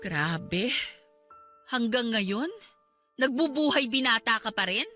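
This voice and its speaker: A woman's voice: fairly high pitch, expressive, takes it slowly, bright, forceful tone, faint music behind, heavily acted. A woman playing a hurt, jealous wife in a Tagalog radio drama, nagging and pleading with emotion. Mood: hurt, angry. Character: hurt, jealous young wife confronting her husband.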